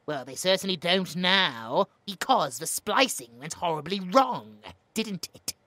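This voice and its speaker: A man's voice: high voice, bright, forceful tone, dry recording, exaggerated voice. A British man playing a smug, cruel mad scientist in a horror audio roleplay, with a mocking, snobbish manner. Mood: mocking, lecturing. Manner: mocking, snobbish, drawn-out musing.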